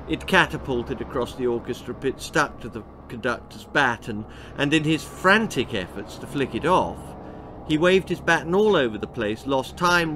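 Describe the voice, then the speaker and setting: A man reading a scripted children's story as its author, in a dramatic, comic, emphatic manner with pauses. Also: mid-range voice, fairly bright tone, a little hiss or hum.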